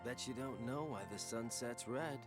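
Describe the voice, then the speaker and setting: A man's voice: mid-range voice, moderate pace, close to an everyday voice. A man voicing a cocky, teasing young man, an older friend, in a video game. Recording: game audio under loud music.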